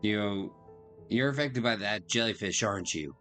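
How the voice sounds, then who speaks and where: Laid-back, low-voiced man streaming. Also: moderate pace, fairly bright tone. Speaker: game streamer. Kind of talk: casual livestream chat and reading game text aloud. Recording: faint game music behind.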